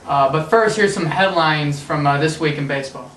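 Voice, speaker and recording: A man's voice: on the high side, moderate pace, fairly bright tone, slight background noise. A man a little under the weather, co-hosting a casual sports chat and giving blunt opinions with plenty of fillers. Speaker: podcast co-host. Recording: echoey room, far from the mic.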